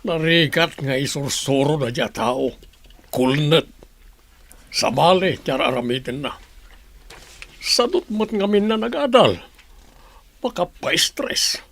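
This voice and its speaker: A man's voice: on the high side, expressive, slow pace, creaky, pauses often, a bit bright, heavily acted. A man playing a caring but exasperated grandfather in an Ilocano comedy radio drama, with an Ilocano accent. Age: older.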